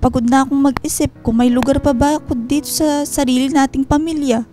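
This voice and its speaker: A woman's voice: high voice, fairly even intonation, fairly quick, mellow, lightly acted. An actress playing a hurt, weary wife and mother in an emotional, earnest Filipino radio drama. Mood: hurt, reproachful. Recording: music bed under the voice.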